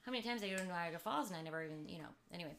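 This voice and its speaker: Chatty bright-voiced woman co-host. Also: on the low side, lively intonation, a little hiss or hum. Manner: chatty, swears playfully, quick reactions. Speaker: podcast co-host. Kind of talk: casual chat.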